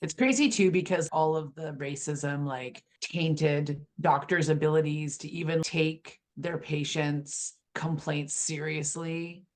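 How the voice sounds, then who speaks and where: Low-voiced woman chatting animatedly. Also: slow, hardly any pauses. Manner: animated, emphatic, laughs often. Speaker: book club podcast co-host. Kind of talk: casual chat.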